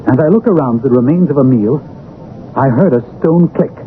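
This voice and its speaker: An actor playing an earnest, loyal gentleman companion and narrator in a 1970s radio drama, formal and expressive. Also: medium pitch, normal speed, lightly acted. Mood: tense narration. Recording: narrow-band vintage radio, faint hiss.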